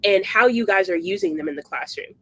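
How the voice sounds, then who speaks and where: A man's voice: high-pitched, lively intonation, fairly quick, creaky, fairly bright tone, no echo. A man hosting an education podcast, asking questions and framing ideas in fluent, easygoing sentences. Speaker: podcast host. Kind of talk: casual interview conversation.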